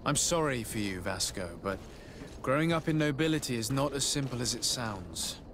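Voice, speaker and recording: A man's voice: mid-range voice, expressive, moderate pace, background noise, lightly acted. A man playing a composed, courteous noble diplomat in a video game, speaking politely and with discretion. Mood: sympathetic, thoughtful. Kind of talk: video game cutscene acting.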